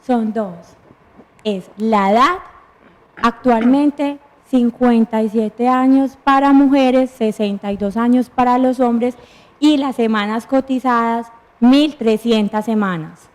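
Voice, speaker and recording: Methodical woman, somewhat high voice. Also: slow pace, darkish tone. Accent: Colombian Spanish. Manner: patient, methodical, lists points and answers her own questions. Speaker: lawyer guest explaining pensions. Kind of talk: explanatory studio talk.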